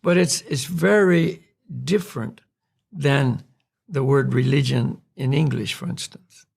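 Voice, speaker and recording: Slow, gravelly American man. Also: medium pitch, a little mellow. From North Carolina, USA. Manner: slow, reflective, explanatory. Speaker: podcast host. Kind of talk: spiritual discussion.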